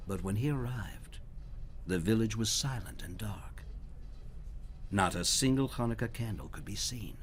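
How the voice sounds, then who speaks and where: A man reading storybooks aloud for children in a dramatic, expressive way, at times dropping to a hush. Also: mid-range voice. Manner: dramatic, dropping to hushed near-whispers. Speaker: storybook reader.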